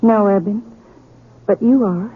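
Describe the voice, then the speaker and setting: An actress playing a gentle, sorrowful young schoolmarm in a 1950s radio western. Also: female voice, medium pitch, unhurried, slightly rough. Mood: sad, reproachful. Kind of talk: 1950s radio drama acting. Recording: tinny old radio recording.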